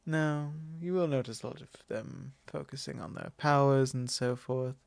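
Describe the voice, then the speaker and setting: A man's voice: medium pitch, creaky, no room sound, lightly acted. A man playing a possessive, courtly vampire lord in an ASMR roleplay, speaking formally and condescendingly in an intimate monologue. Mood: genteel, indulgent. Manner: formal, unhurried, condescending, with soft chuckles.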